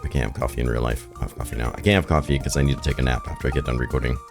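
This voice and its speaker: A hoarse man with a raspy, rough voice, rambling and thinking aloud as he plays through a farming game in casual commentary. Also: extremely low pitch, fairly even intonation, rapid-fire. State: hoarse from shouting the night before. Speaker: gaming video host. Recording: game music behind the voice. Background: game music.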